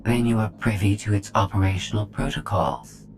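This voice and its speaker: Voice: low-pitched, normal speed, slight background noise, natural voice. A performer voicing a cold, calculating droid in a video game, formal and precise. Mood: cold, detached.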